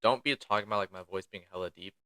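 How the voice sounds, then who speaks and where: A man's voice: fairly low pitch, racing, bright, forceful tone, a little hiss or hum. A young man in a casual podcast chat, sick, with a sleepy, laid-back voice. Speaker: podcast co-host.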